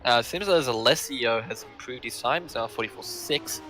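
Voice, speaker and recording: A man commentating an online sim race, easygoing and pausing often with fillers. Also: medium pitch. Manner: relaxed, many filler pauses.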